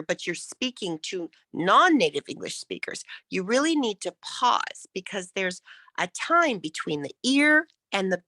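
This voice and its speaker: Woman speech coach, encouraging, really lively pitch, takes her time, dry close sound. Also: dry recording. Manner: encouraging, demonstrative, emphatic. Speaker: public speaking coach. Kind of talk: interactive vocal coaching lesson.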